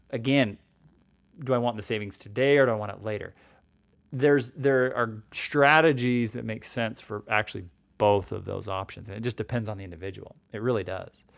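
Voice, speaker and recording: A man's voice: on the low side, gravelly, fairly bright tone, no room sound. A man in his forties explaining retirement savings and taxes in a conversational way, often checking in with "right?" and restarting sentences. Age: 40s. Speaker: financial educator.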